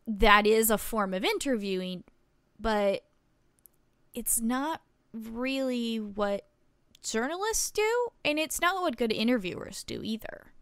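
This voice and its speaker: A woman playing an earnest, patient small-town reporter in an audio drama, explaining things in a measured, reflective way. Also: fairly high pitch, expressive, a bit bright, smooth, no room sound, clean studio sound, heavily acted. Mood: patient, instructive. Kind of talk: audio drama dialogue, naturalistic.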